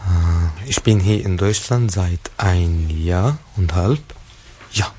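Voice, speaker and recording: A man in his thirties from Syria with an Arabic accent, speaking simply and haltingly as an interview guest. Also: low voice, expressive, warm tone, slight background noise. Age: 30s. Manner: simple, halting non-native phrasing. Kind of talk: personal talk in a radio interview.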